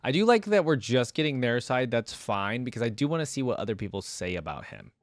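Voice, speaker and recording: A man's voice: medium pitch, very animated, a little fast. A young man giving casual, rambling reaction commentary as host, close to a desk microphone. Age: young adult. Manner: rambling, informal. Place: indoor room at a desk. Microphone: close desk microphone, headset worn.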